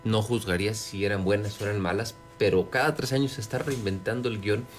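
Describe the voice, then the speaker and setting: A man with a Mexican accent giving conversational interview answers as a city official, pausing and searching for words. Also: on the low side, steady tone, faint music behind. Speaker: city government secretary being interviewed.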